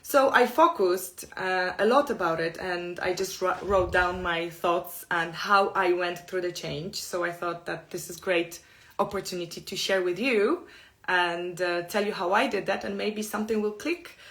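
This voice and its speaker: A middle-aged woman from Poland, whose first language is Polish, speaking English with a Polish accent. She gives a personal self-help talk in an earnest, open way, in slow and careful phrases. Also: fairly low pitch, a bit bright.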